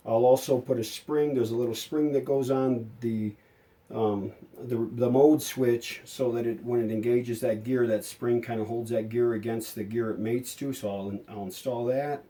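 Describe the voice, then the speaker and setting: A man giving a casual, hands-on workshop explanation as a hobbyist restorer, haltingly thinking aloud as he works. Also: mid-range voice, mellow. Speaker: hobbyist radio restorer and video maker. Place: indoor home workshop at a workbench.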